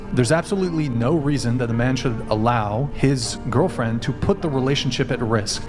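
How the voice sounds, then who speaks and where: A man with a mid-pitched voice delivers opinionated commentary. He sounds assertive and articulate, and music plays clearly underneath him.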